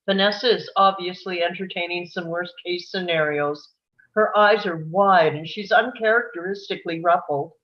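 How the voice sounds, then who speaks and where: Female narrator for stage directions, slightly low pitch, scripted read, a bit lo-fi. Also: normal speed.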